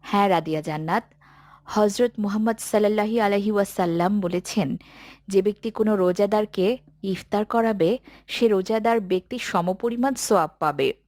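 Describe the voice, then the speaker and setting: A woman playing a kind, devout mother in a cartoon, speaking in a calm, approving and instructive manner. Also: medium pitch, moderate pace, no echo, clean studio sound, lightly acted. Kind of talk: cartoon dialogue.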